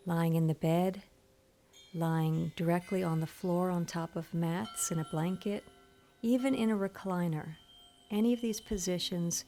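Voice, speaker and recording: A woman leading a guided meditation in a soothing, gentle, instructional manner. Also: fairly low pitch. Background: soft meditation music with chimes.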